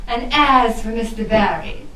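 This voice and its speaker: Haughty scheming rich widow, high voice, slow n condescending, roomy distant sound. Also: female voice, animated, sharp tone. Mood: scornful. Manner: arch, grandiose, condescending. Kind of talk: theatrical rhyming costume-drama stage acting.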